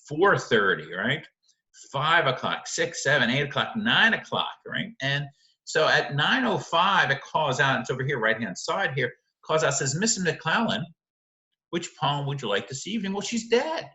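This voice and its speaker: Male lecturer, bright and emphatic, roomy echoing sound, conversational teaching style with frequent rhetorical check-ins. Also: fairly high pitch. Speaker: literature teacher. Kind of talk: informal video lecture analysing a short story.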